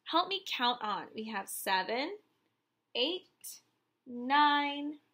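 Female primary teacher for a children's read-aloud: bright, high voice, encouraging and sing-song. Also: big pitch swings, extremely slow.

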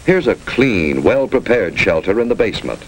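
A man's voice: lowish voice, big pitch swings, moderate pace. A man with an American accent narrating a 1950s instructional film in clipped, authoritative instructions. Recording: noisy old 1950s film soundtrack.

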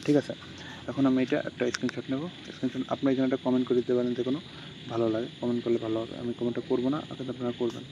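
A man rattles quickly through a phone tutorial, keeping his pitch flat and repeating himself as he goes. The sound is dull and lo-fi, as if captured on a phone mic. A steady insect-like hum sits clearly in the background. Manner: rambling, repeats "ঠিক আছে" between steps. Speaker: tutorial host. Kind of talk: casual screen-recorded walkthrough.

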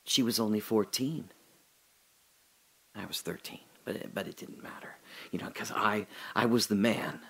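A man performing a reflective audio drama monologue, speaking slowly and often dropping to a whisper. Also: fairly low pitch, a bit bright. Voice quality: slow, gravelly and husky, often dropping to a whisper. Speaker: radio drama narrator and lead.

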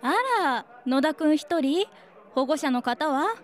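A woman's voice: high-pitched, slow pace, slight background noise. A woman playing a playful, shameless schoolteacher in a comedic radio drama, joking with a straight face. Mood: teasing. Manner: teasing, straight-faced joking. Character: playful, shameless female homeroom teacher.

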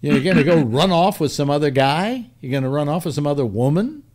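An older man hosting a casual video-call chat, rambling with halting restarts and self-mocking jokes. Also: fairly high pitch, expressive, darkish tone. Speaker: host. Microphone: webcam on a video call.

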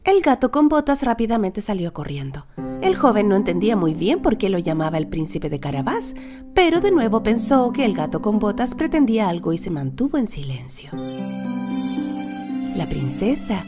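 A woman narrating a children's fairy tale in an expressive, dramatic storytelling manner. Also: medium pitch, normal speed, over music.

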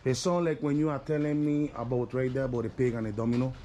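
A man's voice: medium pitch, fairly even intonation, fast talker, dark tone, a little hiss or hum. A man, chatting loosely and laughing as he vlogs outdoors by a pig pen. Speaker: vlogger. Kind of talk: casual chat. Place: outdoor pig pen under tin roof.

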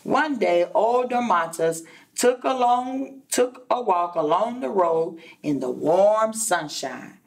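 A woman teacher reading a children's storybook aloud expressively, addressing young listeners in a deliberate way. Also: mid-range voice, expressive, vocal fry, recorded from a distance. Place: indoor classroom.

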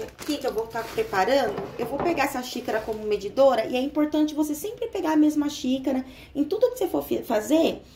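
Brazilian woman, high-pitched, friendly home-cook vlogger talking to a phone camera in her kitchen, faint background hiss. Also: darkish tone. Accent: Brazilian Portuguese. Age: young adult. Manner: chatty, explains step by step with frequent "tá?" check-ins. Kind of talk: casual cooking tutorial.

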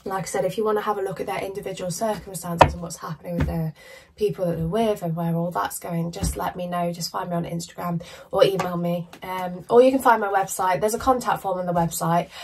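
A woman in her thirties doing a chatty, emphatic tarot reading to camera in a small room, her voice going hoarse. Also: fairly low pitch, fairly even intonation, fairly quick, recorded from a distance, noisy background. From United Kingdom. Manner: chatty, emphatic, casual swearing. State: losing her voice, coughing. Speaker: tarot reader. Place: small decorated room indoors.

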